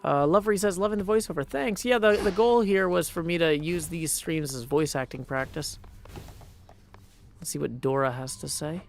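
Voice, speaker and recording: A man's voice: on the high side, moderate pace, gravelly, a little hiss or hum. A man streaming and chatting casually with his viewers. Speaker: streamer practicing voice acting.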